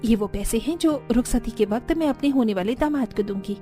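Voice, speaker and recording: A woman's voice: fairly high pitch, lively intonation, a little fast, mellow, soft background music, natural voice. A woman voicing a middle-aged aunt and bride's mother in a cartoon, earnest and explaining. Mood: earnest, relieved. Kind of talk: Hindi cartoon voice acting.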